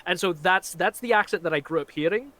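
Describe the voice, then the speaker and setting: A man's voice: sits high, brisk, sharp tone. A man with a faint Glaswegian accent blended with American speech, a magician chatting casually on a live stream with lots of fillers. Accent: faint Glaswegian mixed with American. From Scotland. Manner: chatty, rambling, many fillers.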